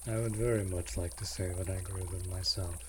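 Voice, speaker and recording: A man playing a protective vampire master in a roleplay audio drama, speaking formally and gently, often dropping to a breathy murmur. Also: deep voice, steady tone, brisk, background noise, close to an everyday voice. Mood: apologetic, gentle. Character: courtly, protective male vampire master of a manor. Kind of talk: scripted roleplay audio drama addressed to the listener.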